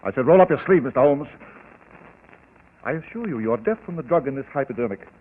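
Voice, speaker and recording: An actor playing a smooth, cold murderous doctor in a 1940s radio drama, with deliberate, menacing delivery. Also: male voice, medium pitch, lively intonation. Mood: coldly menacing. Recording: tinny old radio broadcast with faint hiss.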